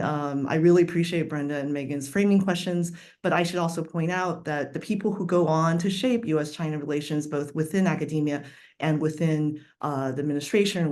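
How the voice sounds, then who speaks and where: A woman's voice: sits low, darkish tone. A woman from Taiwan, a professor speaking on a webinar panel over a video call, thoughtful and working through long layered sentences with many fillers. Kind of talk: academic webinar discussion.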